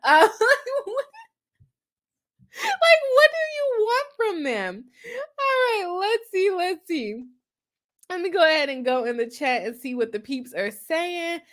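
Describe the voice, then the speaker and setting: High, bright-voiced congested woman. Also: very animated, a little slow, long pauses. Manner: chatty, laughs often between remarks. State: stuffy, congested nose. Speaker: attorney hosting a live stream. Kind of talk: casual live-stream chat, reading viewer comments.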